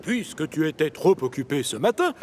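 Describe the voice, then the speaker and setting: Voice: mid-range voice, big pitch swings, a little fast, a little hiss or hum, close to an everyday voice. An actor playing a stout, stern but fair railway controller who speaks firmly and with authority, in a French cartoon dub. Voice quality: firm and authoritative. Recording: cartoon soundtrack with music.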